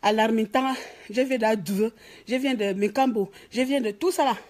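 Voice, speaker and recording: A woman's voice: a little high, moderate pace, a little mellow. A woman from Gabon with a Gabonese French accent, scolding and mocking in an emphatic political rant. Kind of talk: ranting political commentary to camera. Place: office.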